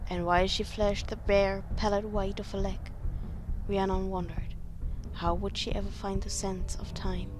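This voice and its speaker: Female amateur reader with a non-native English accent, theatrical and grave delivery of purple prose, occasional stumbles, music underneath. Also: medium pitch. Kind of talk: melodramatic dramatic reading of overwrought prose.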